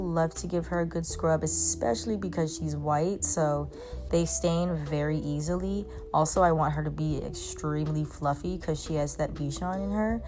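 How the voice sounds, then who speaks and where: Chatty young woman, lowish voice, casual groomer vlog from a van with faint music behind. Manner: chatty, talks to dogs in baby talk. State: congested. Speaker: mobile pet groomer.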